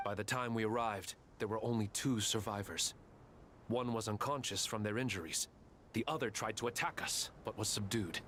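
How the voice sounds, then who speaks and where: An actor playing a dutiful, respectful officer in a video game, reporting in a formal, matter-of-fact way. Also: male voice, on the low side, moderate pace, a bit bright, slight background noise, natural voice. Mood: sober.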